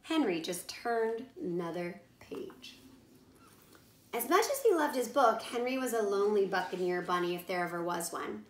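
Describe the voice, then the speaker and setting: A woman reading a children's storybook aloud in animated narration. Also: mid-range voice, moderate pace, a little hiss or hum. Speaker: storybook reader. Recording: roomy echo.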